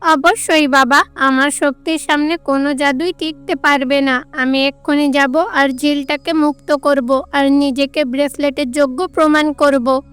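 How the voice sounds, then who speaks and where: A woman's voice: high voice, steady tone, unhurried, fairly bright tone, faint music behind. A woman voicing a proud, eager young warrior god in a cartoon, earnest and given to boasting. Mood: bold, confident.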